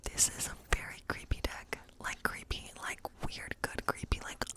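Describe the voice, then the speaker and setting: Whispering woman, cozy witchy tarot reader. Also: normal speed. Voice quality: soft, breathy whisper. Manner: informal, encouraging, rambling asides. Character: friendly witch giving a cozy tarot reading. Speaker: ASMR performer playing a witch reading tarot. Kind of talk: whispered ASMR role play, loosely improvised tarot reading.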